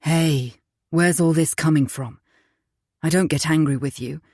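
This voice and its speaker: A woman's voice: sits low, unhurried, darkish tone, dry recording, studio quality. A woman voicing a moody, controlling young husband in an audiobook, curt and dismissive one moment, falsely sweet the next. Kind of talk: audiobook character voice.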